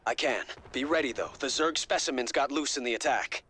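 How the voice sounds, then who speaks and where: Composed young commander over comms. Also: male voice, medium pitch, expressive, a little fast, sharp tone, husky. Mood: cautious. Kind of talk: video game voice acting.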